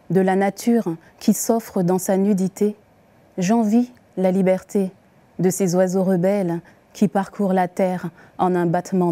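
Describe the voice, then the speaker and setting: A woman reciting poems as the poet herself, with deliberate, expressive phrasing and pauses. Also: medium pitch. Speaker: poet reading her own poems. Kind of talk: poetry recitation.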